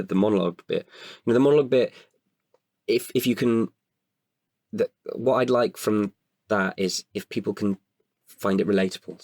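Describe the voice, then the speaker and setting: A man with a creaky voice in a candid podcast conversation, speaking hesitantly and often correcting himself. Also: low voice, darkish tone, no echo, studio quality. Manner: hesitant, halting with self-corrections. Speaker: writer-performer guest.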